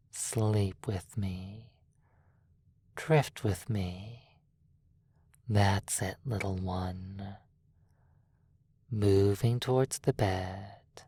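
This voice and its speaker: Close and dry, a woman plays a predatory vampire lulling someone to sleep in an audio roleplay. The voice is dark and very low for a female, with a breathy edge. Delivery is extremely slow and hypnotic, often sinking into a whisper. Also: dry recording, exaggerated voice. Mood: seductive, coaxing. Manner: slow, hushed, drawn-out, often slipping into whispers. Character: seductive predatory vampire stranger, hypnotic and possessive.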